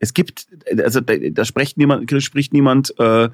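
A man's voice: mid-range voice, moderate pace, no echo. Joking middle-aged man in dry sound. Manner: lively, joking, rambling with many fillers. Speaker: author and filmmaker, interview guest. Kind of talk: casual interview conversation.

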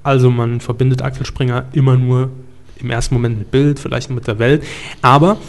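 A man's voice: medium pitch, fairly even intonation, brisk. Man presenting a media talk, methodical. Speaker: host of a media news talk show. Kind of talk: explanatory conversational presenting.

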